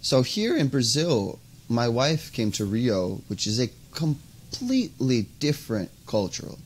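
A young man from the United States, a podcast guest talking casually and haltingly, with many "you know"s, over an earphone mic on a video call. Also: medium pitch, sing-song, breathy edge. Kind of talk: interview conversation. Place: indoors by a window, video call.

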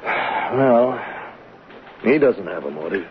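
An actor playing a patient, probing police lieutenant in a 1970s radio drama, with informal, unhurried questioning. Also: male voice, lowish voice, animated. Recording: dull, hissy old radio recording.

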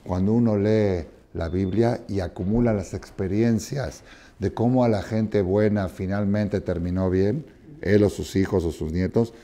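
A man in his sixties gives a Torah lesson from a lectern in a study hall, with a low, mellow voice. He talks expressively, acts out parables in dialogue and keeps checking that his listeners follow. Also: a little slow. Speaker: rabbi teaching a class. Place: study hall with wooden chairs, indoors.

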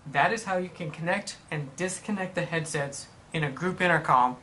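A man reading scripted instructional narration in a measured, explanatory manner for a product tutorial. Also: on the high side, sharp tone, slight background noise.

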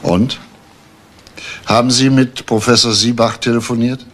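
An actor playing a probing, authoritative police detective with a gravelly voice in a 1980s German crime radio drama, asking terse questions. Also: male voice, medium pitch, steady tone, measured pace, natural voice. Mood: brisk, commanding. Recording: faintly noisy old radio recording.

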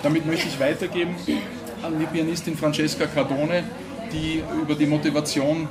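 A man's voice: on the high side, level tone, moderate pace. A middle-aged man, the producer, formally introducing the speakers at a press conference, with some fillers. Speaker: producer and moderator. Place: ornate café room with audience. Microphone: table microphone. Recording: room with a loud crowd.

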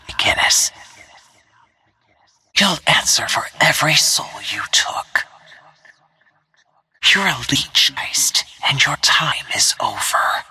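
An actress playing a cold, stealthy female assassin in a video game, speaking in terse, cool, menacing lines with a husky, breathy voice. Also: a bass voice, bright, forceful tone, heavily acted. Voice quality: husky, breathy, near-whisper.

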